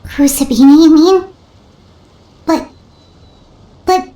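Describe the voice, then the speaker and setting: Squeaky, tiny fairy sidekick. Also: female voice, slow pace, dark tone, echoey room. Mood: hesitant. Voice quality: squeaky, childlike. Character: tiny childlike fairy companion, plucky and curious. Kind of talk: cartoonish video game character acting.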